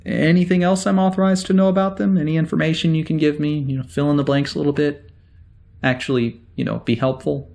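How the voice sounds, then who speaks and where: A man playing a wry, easily exasperated security officer in a sci-fi audio drama, talking in a halting, conversational way. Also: on the high side, steady tone, gravelly, a little mellow.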